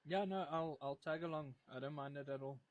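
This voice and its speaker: A man's voice: on the high side, brisk, no echo, lo-fi sound. A man from South Africa whose first language is Afrikaans, speaking English with a strong Afrikaans accent in casual, easygoing game chat. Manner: easygoing and agreeable. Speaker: fellow player.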